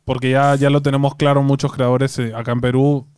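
A man's voice: medium pitch, level tone, a little mellow, clear, resonant tone, no echo. Chatty Spanish-born young man, dry sound. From Spain. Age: 20s. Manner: chatty, fast asides with fillers. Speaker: content creator. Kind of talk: casual group chat.

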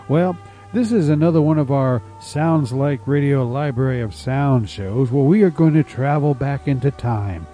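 Slow-talking, bright-voiced male radio host. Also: mid-range voice. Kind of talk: folksy scripted show introduction over music.

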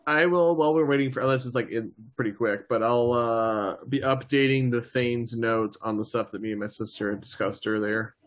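A man's voice: mid-range voice, steady tone, a little fast, hardly any pauses. Man casually playing a tabletop game. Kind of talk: casual joking roleplay chat.

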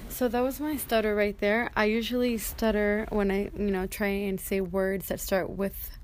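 A young adult woman hosting a personal motivational podcast, speaking casually and candidly in a halting way with an occasional stutter. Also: medium pitch, level tone, darkish tone. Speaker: podcast host. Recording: noticeable background noise.

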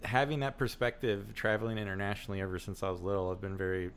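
A man with a fairly low voice hosts a casual podcast chat. He grew up speaking Albanian first, and he keeps nodding along with short affirmations. Faint hiss runs in the background. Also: flat delivery, quick pace. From United States. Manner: easygoing, affirming listener with frequent backchannels. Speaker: podcast host. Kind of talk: casual conversation.

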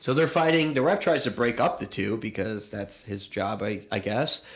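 A man's voice: on the low side, normal speed. Casual joking man in an echoey room. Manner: crude joking, informal. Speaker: podcast co-host. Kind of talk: casual chat recapping a wrestling show.

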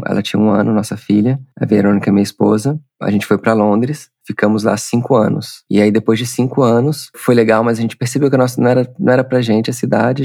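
A man's voice: fairly low pitch, gravelly, darkish tone, dry recording, clean studio sound. A Brazilian man in his forties, a podcast guest telling his story casually, rambling with many restarts and fillers. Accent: Brazilian Portuguese.

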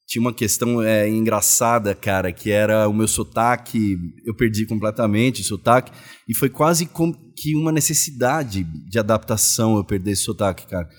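A man's voice: lowish voice, normal speed. A Brazilian man in his forties chatting casually as an interview guest, telling his stories in informal, slangy speech. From Salvador, Bahia, Brazil; moved to São Paulo state as a child. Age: 40s. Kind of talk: casual chat.

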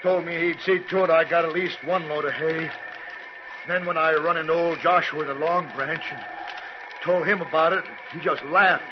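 An actor playing a harried, stubborn, plain-spoken frontier homesteader with a rural western American accent. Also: male voice, on the high side, fairly even intonation, normal speed, lightly acted. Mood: weary, plain. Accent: rural American West. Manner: folksy, defensive. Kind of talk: 1960s radio drama acting. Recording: noisy, muffled vintage radio recording.

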